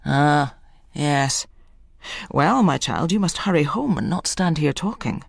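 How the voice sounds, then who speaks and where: Woman voicing a low, fussing fatherly priest. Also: lively intonation, unhurried, gravelly, no echo, studio quality, heavily acted. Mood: paternal, fussing. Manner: paternal, inquisitive, admonishing.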